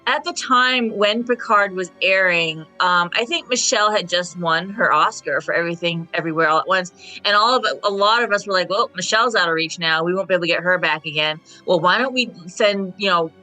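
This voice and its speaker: A woman's voice: fairly low pitch, brisk, sharp tone, faint music behind, poor audio. A woman speaking as a panelist in a casual roundtable discussion.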